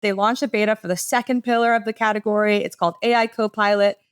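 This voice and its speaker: A woman speaks at a medium pitch, like a podcast panelist walking colleagues through a case study. Her delivery is conversational and enthusiastic. She explains clearly in casual, everyday phrasing. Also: steady tone, quick pace. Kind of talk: conversational business presentation.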